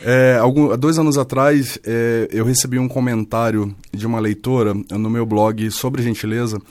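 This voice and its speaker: Carioca male radio guest, earnest and explanatory, average pitch, dry close sound. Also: steady tone, normal speed, darkish tone, no room sound. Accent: Carioca Brazilian Portuguese. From Rio de Janeiro, Brazil. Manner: earnest, explanatory, with frequent restarts. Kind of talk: radio interview.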